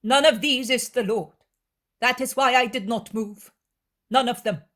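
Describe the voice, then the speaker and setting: A woman's voice: a little high, measured pace, a bit bright, voice pushed up. A woman voicing a young prophetess who answers firmly and defiantly. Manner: firm, defiant.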